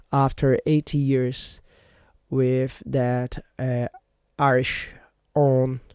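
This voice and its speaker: A man's voice: mid-range voice, warm tone, clean tone, dry recording, studio quality. A man whose first language is Portuguese hosts a solo podcast in a rambling, casual way, full of fillers and self-corrections. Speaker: podcast host. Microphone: computer microphone.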